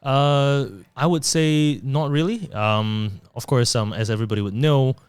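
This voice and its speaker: A middle-aged man from Singapore and Taiwan, a guest on a podcast, chatting in a relaxed, self-deprecating way. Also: medium pitch, unhurried, clean tone, no room sound. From born in Taiwan, raised in Singapore. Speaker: podcast guest, digital marketer. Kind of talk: casual interview conversation.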